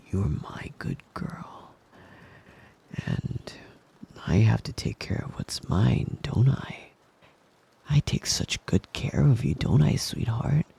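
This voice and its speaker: Man playing a husky, whispery possessive wolf boy. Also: fairly low pitch, dark tone, exaggerated voice. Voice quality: breathy, husky, often dropping to a whisper. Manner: slow, coaxing, teasing endearments. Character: possessive, doting young male wolf-boy lover. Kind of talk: intimate ASMR roleplay.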